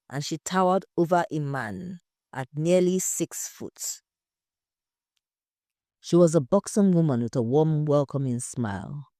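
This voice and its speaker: A woman's voice: low voice, warm tone, no room sound, clean studio sound. A woman reading a scripted story as its narrator.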